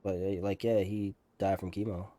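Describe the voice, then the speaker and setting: A young man talking casually over gameplay as a streamer, candid and slangy, in a halting way. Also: deep voice, little pitch movement, warm tone. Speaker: gaming streamer.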